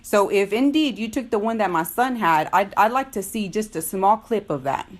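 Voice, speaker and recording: A middle-aged woman speaks as a parent lodging a complaint in a phone dispute. She is firm and insistent, often circling back to repeat her point, in a voice of ordinary pitch. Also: moderate pace, a little hiss or hum. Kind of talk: tense phone conversation, disputing.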